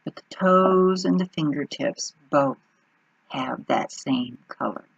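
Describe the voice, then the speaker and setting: Slow, gravelly woman leading guided meditation. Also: sits low, no room sound, muffled recording. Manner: unhurried, pausing between short phrases.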